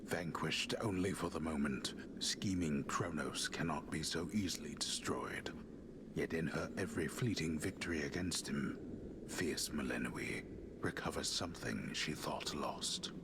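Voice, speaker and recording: A man playing a grave storyteller of myth, narrating a video game in a solemn, hushed, gravelly voice. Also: extremely low pitch, unhurried. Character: grave old-world male storyteller of myth. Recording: noise and ambience behind.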